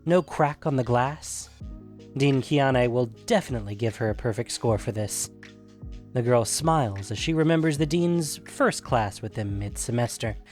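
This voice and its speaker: A man narrates a scripted fantasy story for a podcast. His medium-pitched voice moves up and down in a lively way, with music quietly underneath.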